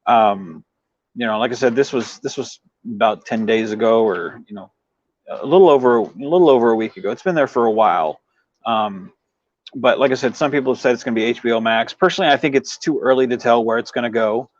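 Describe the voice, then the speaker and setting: A man hosts a casual livestream, talking to his viewers in a loose, rambling way full of habitual filler phrases. His voice sits at an ordinary middle pitch. The audio is dry, with hardly any room around it, and a little dull and lo-fi. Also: fairly quick, no echo. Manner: rambling, frequent "you know" verbal tic. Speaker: livestream host. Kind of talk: casual chat with live viewers.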